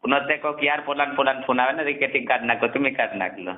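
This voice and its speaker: A man's voice: mid-range voice, fast talker, slight background noise. A man in a casual phone conversation, explaining things at length and repeating himself, on a narrowband call recording.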